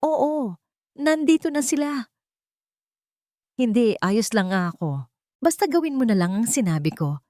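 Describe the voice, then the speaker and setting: A woman's voice: on the high side, big pitch swings, dark tone, no room sound, pitched above the performer's own voice. Curt, secretive young man of money, read by a woman, brusque storybook dialogue, dry sound. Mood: secretive, brisk. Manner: brusque, hurried.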